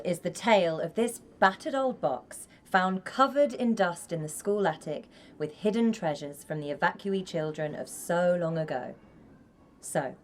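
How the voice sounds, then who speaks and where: An actress playing an encouraging woman schoolteacher in a radio drama, speaking in an expressive, projected storytelling manner. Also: lowish voice. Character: encouraging woman schoolteacher addressing her pupils. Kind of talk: radio drama acting and narration.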